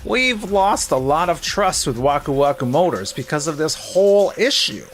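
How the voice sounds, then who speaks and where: A man plays a stern corporate manager in a manga-style drama dub, with a fairly high voice and lively, swinging intonation. He is exacting and reproachful, pressing his point over background music. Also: normal speed. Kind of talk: scripted manga dub voice acting.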